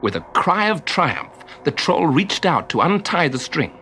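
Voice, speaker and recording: A man reads a children's tale as narrator. His fairly high voice moves expressively through the story, with music clearly audible underneath. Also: sing-song, a little slow, pushed and bright.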